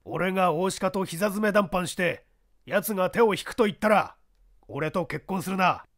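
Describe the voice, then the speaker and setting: A man's voice: high-pitched, moderate pace, fairly bright tone. A man voicing a jealous, threatening big young man in an audiobook drama. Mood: angry, demanding. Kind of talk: audiobook character acting.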